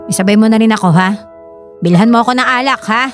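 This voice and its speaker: A woman's voice: a little high, fast talker, soft background music, lightly acted. A woman playing an irritable, demanding middle-aged mother in a Filipino radio drama, nagging and defensive. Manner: nagging, defensive, tags demands with sharp questions. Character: irritable, demanding mother hooked on gambling and drink.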